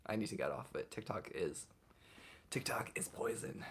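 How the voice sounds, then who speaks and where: A young man hosting a casual livestream, reading viewers' comments aloud and answering them off the cuff in a relaxed way. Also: on the low side, moderate pace, slight background noise. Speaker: livestream host answering chat.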